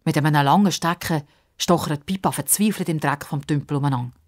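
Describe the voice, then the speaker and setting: A woman's voice: sits low, a little fast. A woman narrating a scripted story for children.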